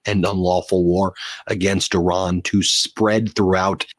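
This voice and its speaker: A man's voice: low voice, level tone, unhurried, no room sound. A man delivering emphatic, scripted political news commentary in a blunt way.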